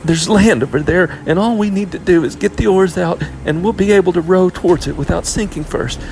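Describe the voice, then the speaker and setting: A man voicing a bossy, impatient girl with shrill, urgent exclamations in an audiobook. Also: brisk, gravelly, darkish tone, faint music behind. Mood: angry, scolding. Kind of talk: audiobook character voice.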